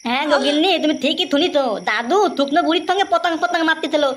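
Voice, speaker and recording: A woman's voice: high-pitched, rapid-fire, slight background noise, lightly acted. A woman voicing a vengeful, crude grown man in a comic cartoon, blustering and coarse.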